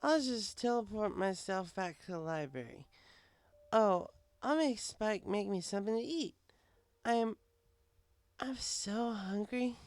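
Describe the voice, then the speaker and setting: Man putting on a slurring, high-pitched drunk girl pony. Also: lively intonation, measured pace, dry recording. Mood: drowsy. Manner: drawling, rambling drunken talk. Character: drunk, giggly young female pony, boastful and affectionate. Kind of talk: audiobook character voice.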